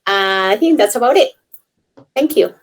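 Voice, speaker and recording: A woman's voice: mid-range voice, lively intonation, moderate pace, faint music behind. A woman from Spain introducing herself casually on a video call. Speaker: meetup attendee.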